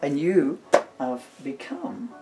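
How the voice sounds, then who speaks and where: A man's voice: a little high, big pitch swings, takes it slowly, loud music behind the voice, low-quality recording. A middle-aged British man chatting casually and reading his own comic writing aloud, rambling and playful as he digresses. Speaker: father, author and stage performer. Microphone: camera mic.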